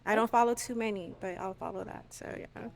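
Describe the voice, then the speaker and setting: A woman's voice: mid-range voice, a little fast. A woman in her thirties on a dating show, answering in a hesitant, rambling way. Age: 30s. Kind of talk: casual chat.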